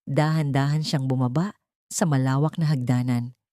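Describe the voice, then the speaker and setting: A woman narrating a scripted audio story in a deliberate, suspenseful, theatrical way. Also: rumbling low, fairly even intonation, warm tone, no room sound, clean studio sound.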